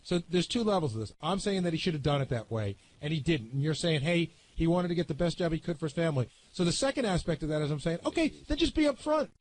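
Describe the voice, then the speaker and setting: A man's voice: on the high side, animated, quick pace, few pauses, poor audio. A man in a heated, casual radio panel discussion, arguing bluntly and with some profanity. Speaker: radio show staff panelist.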